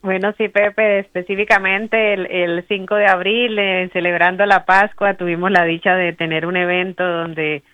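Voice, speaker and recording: A woman co-hosting a radio show, speaking softly and with pauses while introducing a guest. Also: fairly low pitch, little pitch movement, clean tone, no echo. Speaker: radio co-host. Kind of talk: casual radio chat. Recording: dry, phone-line sound.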